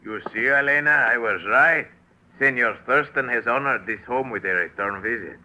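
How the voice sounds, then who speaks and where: An actor playing a courtly, smooth-talking gentleman in an old radio drama. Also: mid-range voice, moderate pace, a little hiss or hum, rough recording. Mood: suave. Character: smooth suave gracious male aristocrat.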